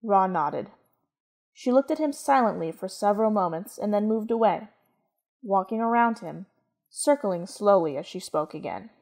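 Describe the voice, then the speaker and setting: Woman narrator, measured storytelling. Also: mid-range voice, expressive, darkish tone.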